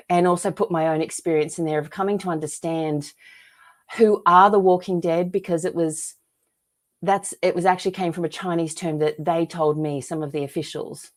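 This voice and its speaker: A woman's voice: on the low side, darkish tone. A woman with an Australian accent, speaking earnestly as a guest author in an interview, with frequent restarts and fillers. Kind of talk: interview conversation.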